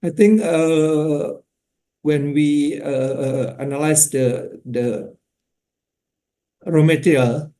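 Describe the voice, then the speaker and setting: A man answering an investor question in halting, deliberate fragments. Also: fairly high pitch, dark tone. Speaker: company executive answering questions.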